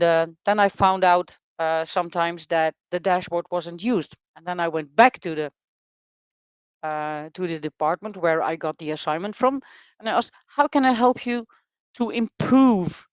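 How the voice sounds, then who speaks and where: A speaker with a smooth, resonant voice at a middle pitch, talking casually as a podcast co-host. The sound is dry and slightly muffled, and the speech stops and restarts with fillers and repeated words. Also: no room sound. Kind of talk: casual conversation.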